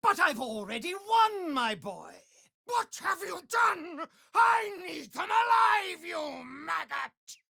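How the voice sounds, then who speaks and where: A man's voice: very high voice, very animated, slow pace, vocal fry, pushed and bright, no room sound, raised voice, clean studio sound, exaggerated voice. An actor voicing a cruel, pompous male vampire lord in a video game, imperious and sneering in his delivery. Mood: commanding, scornful. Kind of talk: theatrical video game villain acting.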